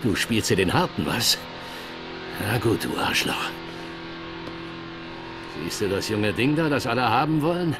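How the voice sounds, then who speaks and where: Menacing game villain, gravelly, slow, coarse, music behind. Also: male voice, medium pitch, expressive. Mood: menacing, angry. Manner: threatening, coarse. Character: menacing, foul-mouthed crime boss and grieving father. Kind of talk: German game dub acting. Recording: music under the voice.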